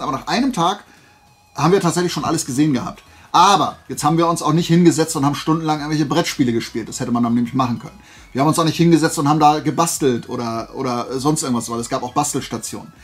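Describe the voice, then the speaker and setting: A man's voice: on the high side, fairly bright tone. A man chatting casually to viewers like a vlogger, in a rambling, digressive and self-deprecating way. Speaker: YouTube vlogger showing flea market and fair finds.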